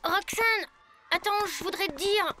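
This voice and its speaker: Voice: extremely high pitch, unhurried. An actor voicing an earnest, easily flustered schoolboy in a cartoon, hesitant and exclamatory. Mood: pleading, hesitant. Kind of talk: French animated series dialogue. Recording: voice under loud cartoon music.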